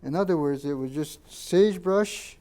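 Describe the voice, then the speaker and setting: An older man, a Japanese first-language speaker from Canada, recalls his childhood in a halting oral history interview and points out photos. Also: on the high side, warm tone. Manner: halting, many fillers and restarts, pointing out photos.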